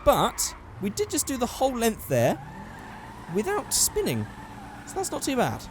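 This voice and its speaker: A man with a hoarse, worn-out voice giving casual commentary over a driving game, getting excitable at close calls. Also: extremely high pitch, unhurried, noisy background. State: hoarse, losing his voice. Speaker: gaming channel host.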